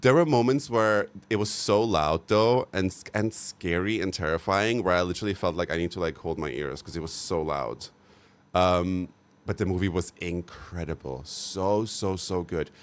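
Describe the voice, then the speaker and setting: A young man chats casually with his livestream viewers, rambling and gushing and swearing now and then. His voice sits a bit low for a man, and he piles up fillers and repeats as he talks. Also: moderate pace. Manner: gushing and expressive, with many fillers and repeated "so good"; chatty, frank and sometimes profane. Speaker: livestream host.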